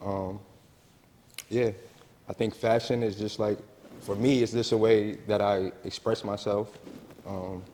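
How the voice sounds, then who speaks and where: A soft-spoken man from New Jersey talks about style as an interview guest. His voice sits on the low side and pauses often on fillers, with a long reverb hanging in the room. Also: little pitch movement, slight background noise. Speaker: guest, brand owner and stylist. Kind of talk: casual interview chat.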